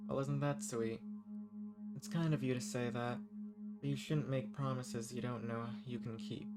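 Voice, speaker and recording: Man acting refined ancient villain over loud music. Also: medium pitch. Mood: wistful, hesitant. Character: ancient sealed villain, living weapon, flirty, dangerous, softened. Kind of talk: intimate scripted roleplay audio monologue.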